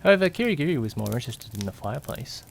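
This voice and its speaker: A man's voice: medium pitch, very animated, very fast, gravelly, slight background noise. A man playing a curious, jittery young detective's companion who narrates a mystery audio drama with suspense and questioning asides. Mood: puzzled, uneasy. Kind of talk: amateur audio drama narration in first person.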